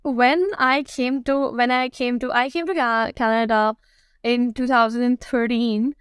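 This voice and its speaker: A woman in her thirties with a Pakistani accent, chatting casually as an interview guest in a halting way, repeating phrases for emphasis. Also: a little high, steady tone, clean tone, no room sound, studio quality. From Karachi, Pakistan. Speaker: guest, food and lifestyle blogger.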